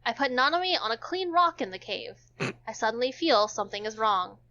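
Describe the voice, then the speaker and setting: A woman's voice: on the high side, lively intonation, a little fast, bright, forceful tone. A woman streamer reading a visual novel aloud, narrating dramatically and chatting casually. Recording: dull, muffled lo-fi audio.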